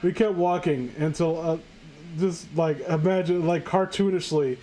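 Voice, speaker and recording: High-pitched incredulous man reading aloud. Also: fairly even intonation, measured pace, warm tone, a little hiss or hum, poor audio. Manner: incredulous, stumbling over the text. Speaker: host reading aloud and commenting. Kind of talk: casual mocking reading of amateur fan fiction with friends.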